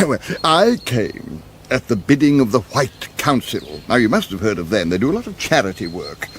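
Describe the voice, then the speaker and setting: An actor with a British accent playing a fussy, dithering, easily flustered old wizard in a radio fantasy comedy. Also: male voice, medium pitch, big pitch swings, moderate pace, slight background noise, close to an everyday voice. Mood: rambling, earnest. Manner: dithering, stammering, plummy theatrical.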